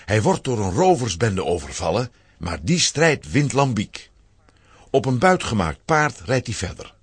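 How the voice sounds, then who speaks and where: A man's voice: medium pitch, very animated, a little slow, husky, dry recording. A man narrating a scripted children's story with dramatic storytelling emphasis.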